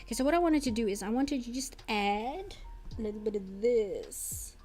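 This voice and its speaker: A woman's voice: a little high, animated, moderate pace, dark tone. A young woman from South Africa with a South African accent, an Afrikaans speaker, chatting casually on stream while she draws and sometimes muttering to herself as she works. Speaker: artist streamer. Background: faint background music.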